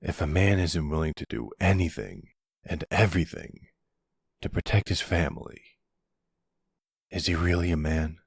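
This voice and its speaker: A man playing a protective, doting middle-aged mafia boss in an intimate roleplay, speaking slowly with pet names in a gruff, husky voice. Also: dry recording, clean studio sound, full character voice. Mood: solemn, protective. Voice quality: low gravelly, husky. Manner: slow, affectionate, uses pet names. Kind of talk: intimate ASMR boyfriend roleplay.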